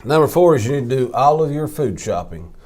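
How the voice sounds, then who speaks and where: A man's voice: medium pitch, sing-song, brisk, darkish tone. Male co-host, plain matter-of-fact talk, mid-range voice. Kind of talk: casual live show chat.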